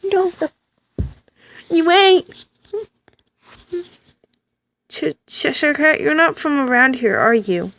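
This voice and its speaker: A woman reading a story game aloud, with animated reactions and stammered asides. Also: high voice, takes it slowly, creaky, long pauses. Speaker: let's play reader.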